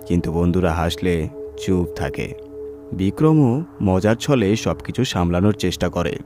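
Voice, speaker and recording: A male storyteller with a fairly low voice narrates a scripted horror tale. Music plays underneath his reading. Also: dark tone.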